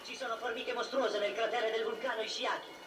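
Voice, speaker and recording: A man playing an excitable young pilot in a dubbed 1970s anime. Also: very high-pitched, a little fast. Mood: alarmed. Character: excitable young male pilot reporting danger. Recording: noisy old film soundtrack, echoey and distant.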